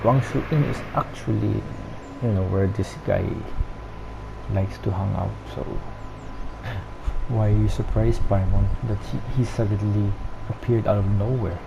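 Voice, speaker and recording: A game streamer with a Filipino accent giving casual, halting gameplay commentary. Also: low voice, steady tone, vocal fry, noisy background. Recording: dull lo-fi mic over game music.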